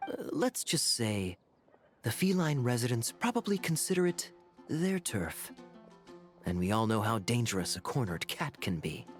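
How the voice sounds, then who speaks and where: A man voicing a suave, laid-back young swordsman in a video game, sardonic and playfully teasing. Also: on the low side. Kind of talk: video game character dialogue. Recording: faint music behind.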